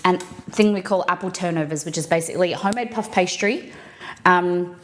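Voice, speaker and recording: A young woman with an Australian accent talks her viewers through a recipe in a relaxed, chatty way. She uses plenty of fillers while she cooks in her kitchen. A faint hiss sits under the voice. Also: lowish voice, brisk. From Perth, Western Australia. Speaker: cooking streamer. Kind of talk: casual live-stream chat while cooking.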